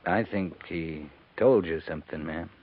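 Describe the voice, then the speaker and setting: Deep-voiced, somber cavalry captain. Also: male voice, narrow, tinny audio. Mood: gentle, grave. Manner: understated, terse, halting. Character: somber, gentle, plain-spoken cavalry captain. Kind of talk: 1950s radio western acting. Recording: scratchy, phone-thin 1950s radio recording.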